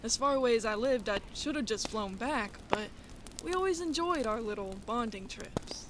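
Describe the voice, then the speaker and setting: A woman's voice: fairly high pitch, lively intonation, moderate pace, close to an everyday voice. A woman playing a wistful young college student survivor in a comic dub, speaking plainly and conversationally. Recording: noisy background with clicks.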